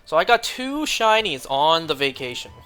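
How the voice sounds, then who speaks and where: Young guy streaming, kinda hoarse and losing his voice, bright voice, keeps clearing his throat, faint game music behind. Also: a little high, big pitch swings, normal speed. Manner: chatty, rambling, frequent throat clearing. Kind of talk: casual stream chat.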